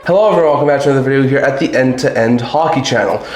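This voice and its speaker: Slightly raspy man in an echoey room. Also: medium pitch, rapid-fire, music in the background. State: a little sick. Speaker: hockey channel host. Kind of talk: sports news commentary to camera or microphone. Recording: roomy, echoing home recording.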